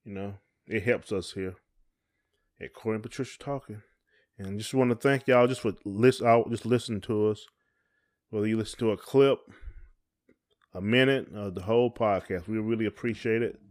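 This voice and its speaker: A man's voice: lowish voice, steady tone, moderate pace, long pauses, darkish tone. A middle-aged man, chatting casually as a podcast co-host in a folksy, encouraging way.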